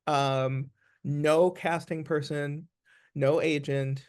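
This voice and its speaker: A man's voice: a little high, flat delivery, very slow, smooth. A man speaking as an interview guest, giving advice conversationally in an earnest, emphatic way, repeating phrases for stress.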